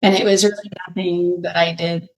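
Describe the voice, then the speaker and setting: A woman's voice: on the low side, flat delivery, fairly quick, darkish tone. A middle-aged woman, a fitness trainer, answering interview questions in a hesitant, casual way and laughing often.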